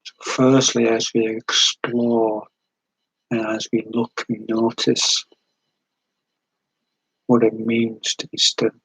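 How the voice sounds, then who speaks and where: A man leading a guided meditation in a gentle, soothing, unhurried way, with long pauses between short phrases and a breathy edge to the voice. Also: medium pitch, completely flat delivery, no echo, rough recording.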